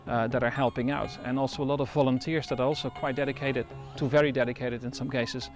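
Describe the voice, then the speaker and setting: A man running a wildlife rescue center, speaking in an interview in a thoughtful way, with pauses and restarts. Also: mid-range voice, level tone, faint music behind.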